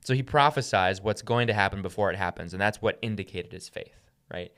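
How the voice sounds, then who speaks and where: A man's voice: deep voice, fairly quick, a bit bright. A man speaking as a podcast host, teaching the Bible in a conversational way and often checking in with "right?".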